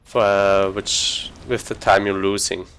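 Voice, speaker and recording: Low-voiced man casually commentating a speedrun. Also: flat delivery, unhurried, a bit bright, clear, resonant tone. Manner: rambling, informal, thinking aloud while playing. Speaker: speedrunner streaming a video game. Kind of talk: casual live gaming commentary.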